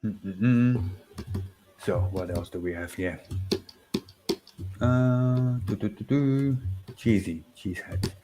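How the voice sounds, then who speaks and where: A man's voice: fairly low pitch, takes it slowly, long pauses, music in the background, rough recording. A man with a Dutch accent, a native Dutch speaker, chatting casually on a livestream, reading viewer comments with many fillers, restarts and chuckles. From Netherlands. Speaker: livestream host.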